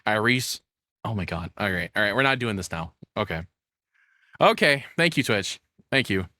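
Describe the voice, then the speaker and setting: Bright, forceful man ranting casually, lower-pitched. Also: sing-song, normal speed, no echo, clean studio sound. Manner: crude, joking, rambling rants. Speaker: streamer reacting to a video. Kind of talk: casual live reaction commentary.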